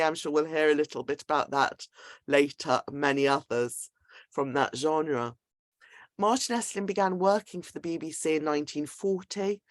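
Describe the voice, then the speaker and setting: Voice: mid-range voice, dry recording. A host giving a semi-scripted introduction of a speaker to an audience.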